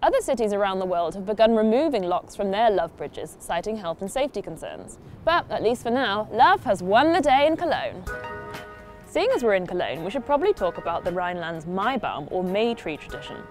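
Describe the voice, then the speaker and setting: A woman's voice: medium pitch, very animated, fairly quick, a little mellow. A woman in her thirties presenting a scripted show in an upbeat, wry way. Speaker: host. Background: music.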